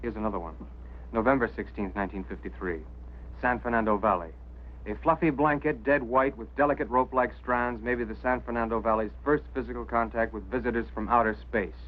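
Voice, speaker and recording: A man plays an insistent, earnest radio operator in a 1950s drama. His voice is mid-range and deliberate. The soundtrack is phone-like, with faint noise and hum. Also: mid-range voice, fairly even intonation, close to an everyday voice. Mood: earnest recital. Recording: tinny, hissy vintage soundtrack with hum.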